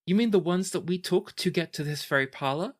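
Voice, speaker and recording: A woman's voice: lowish voice, fairly quick, voice pushed up, lightly acted. Woman playing an imposing, haughty nobleman. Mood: haughty, challenging. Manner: pointed, commanding, sceptical.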